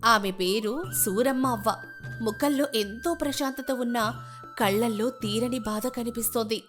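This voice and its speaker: A woman's voice: fairly high pitch, a little slow, over music. A woman giving scripted, dramatic narration as the narrator of a story.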